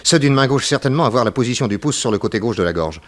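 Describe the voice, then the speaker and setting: An actor playing a composed, inquisitive, matter-of-fact private detective in a 1960s French radio drama. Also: male voice, mid-range voice, expressive, very fast, natural voice. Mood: grave, observant. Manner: matter-of-fact, probing, dry.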